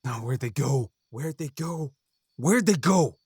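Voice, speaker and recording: A man's voice: a little high, expressive, a little slow, a little mellow, husky, no room sound, studio quality, exaggerated voice. A man playing an irritable bandit in an audio drama, agitated and easily frustrated. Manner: agitated, cursing.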